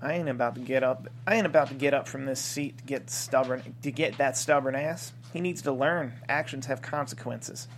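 A man's voice: mid-range voice, normal speed, hardly any pauses, a little hiss or hum, close to an everyday voice. An actor playing a stubborn middle-aged father who runs a small-town bar, in a comedy script table read. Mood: bitter, stubborn.

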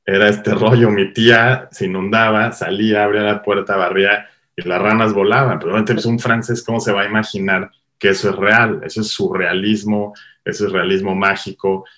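A middle-aged Mexican man with a Mexican accent, a guest filmmaker talking animatedly in a casual interview, full of quick asides. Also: lowish voice. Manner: animated storyteller, quick asides, lots of "o sea".

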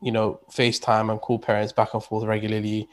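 A man's voice: on the low side, monotone, a little fast. A man talking casually as a guest, rambling with many restarts and fillers. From England. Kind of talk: casual conversation.

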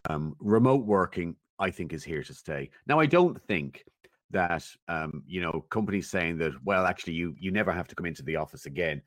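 A man giving considered opinions as a guest in a conversational interview, thoughtful and measured, often pausing as he goes. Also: deep voice, expressive, dry recording. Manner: thoughtful, measured, halting with pauses. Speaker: interview guest, technology commentator.

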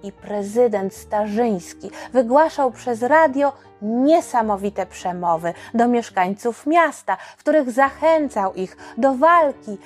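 A woman's voice: on the high side, lively intonation, slow pace, hardly any pauses, faint music behind. A woman tells a scripted story for children in a dramatic, conversational way, posing rhetorical questions. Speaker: podcast narrator and storyteller.

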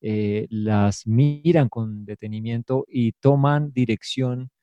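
A man giving measured, explanatory market commentary on a podcast. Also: mid-range voice, mellow, clear, resonant tone, no echo, lo-fi sound.

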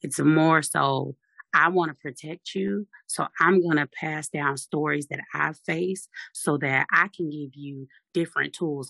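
A speaker with a deep, raspy voice, talking firmly and assertively in a casual discussion. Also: bright, forceful tone, dry recording.

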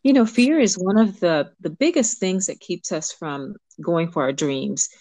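A middle-aged woman from Cuba, a guest coach, speaking thoughtfully with ums and pauses. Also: lowish voice, expressive, no room sound. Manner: warm, reflective, pauses with ums. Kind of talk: interview conversation.

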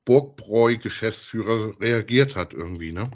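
A man with a fairly low voice talks as a podcast co-host. He reviews and retells a story in loose, everyday language, keeping it easygoing and conversational. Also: expressive, normal speed. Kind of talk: casual review chat about an audio drama.

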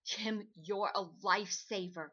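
A woman playing a brisk, pushy magazine editor in an old-time radio drama, talking in bossy slang. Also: mid-range voice, fairly even intonation, pushed and bright, husky, lo-fi sound. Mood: eager. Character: brisk, pushy magazine editor hunting a human-interest story.

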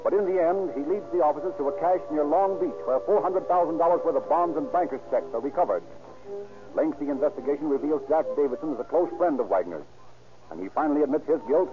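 An actor as a formal, dramatic narrator of a 1930s radio crime story. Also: male voice, fairly high pitch, lightly acted. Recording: tinny old radio recording.

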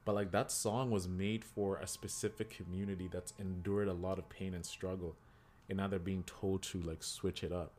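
A man's voice: low-pitched, moderate pace, slight background noise. A man co-hosting a podcast, talking casually and animatedly, with lots of "like" and repeated words. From Kenyan heritage. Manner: animated, repeats himself, heavy use of "like". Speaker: podcast co-host.

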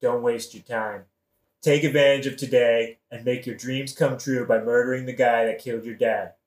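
A man's voice: medium pitch, steady tone, moderate pace, natural voice. Man playing a scheming, glib king, echoey. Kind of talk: modern-language satirical audio play.

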